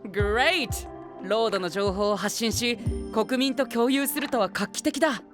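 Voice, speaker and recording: A man playing a flamboyant, pompous young gentleman knight in an anime audio drama, dropping English exclamations theatrically. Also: extremely high pitch, moderate pace, music in the background. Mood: proud, grandiose.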